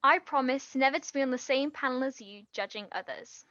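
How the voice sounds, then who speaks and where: A woman acting a nervous, eager aspiring writer in a naturalistic stage play, talking in a flustered, halting, rambling way. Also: sits high, sharp tone, no room sound, dull audio. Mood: uneasy, guarded. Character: nervous, eager female aspiring writer hiding her past.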